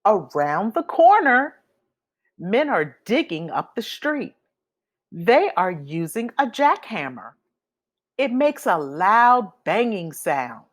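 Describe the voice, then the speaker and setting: Slow, lively woman reading a picture book. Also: medium pitch. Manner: expressive, deliberate phrasing for young listeners. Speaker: read-aloud storyteller. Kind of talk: children's picture book read-aloud.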